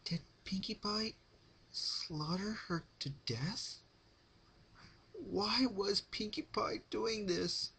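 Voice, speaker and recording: A man's voice: high-pitched, extremely slow, vocal fry, bright, forceful tone, breathy edge, pitched above the performer's own voice, exaggerated voice. A man voicing a frightened, refined female pony held captive, fearful and pleading, in a dramatic fan-fiction reading.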